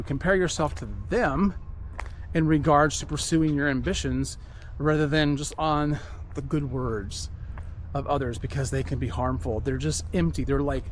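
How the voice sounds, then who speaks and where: A man's voice: a little high, slight background noise. A man talking earnestly as he walks outdoors, rambling and repeating himself in a reflective monologue. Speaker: vlogger. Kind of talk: reflective walk-and-talk monologue. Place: outdoors, walking.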